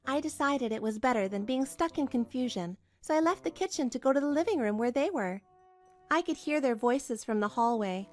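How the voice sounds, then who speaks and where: A woman reading scripted first-person drama narration in an expressive storytelling manner. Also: high-pitched, a little fast, soft background music. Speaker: narrator and voice actor.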